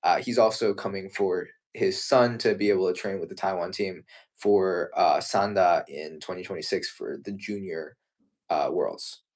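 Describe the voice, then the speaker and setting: A young man from the United States talks martial arts in a relaxed live chat. His voice sits fairly low. He sounds earnest and thoughtful, often starting a sentence twice before it comes out. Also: moderate pace. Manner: earnest, thoughtful, with frequent restarts. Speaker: martial arts competitor and co-host. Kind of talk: casual live-stream chat.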